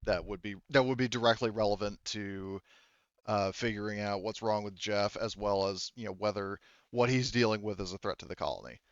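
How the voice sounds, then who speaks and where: A man narrating a tabletop roleplaying game as game master, halting and thinking aloud as he goes, through dull lo-fi audio. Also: on the low side, no echo.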